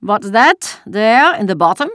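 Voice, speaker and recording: A bullying enemy soldier from a storybook, acted out by a woman, barks his words in a raised, forceful pitch. He is harsh, suspicious and full of scorn, recorded dry and close. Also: animated, moderate pace, dry recording, close to an everyday voice. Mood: tense, snapping. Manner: barking, snapping, scornful. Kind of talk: audiobook character dialogue.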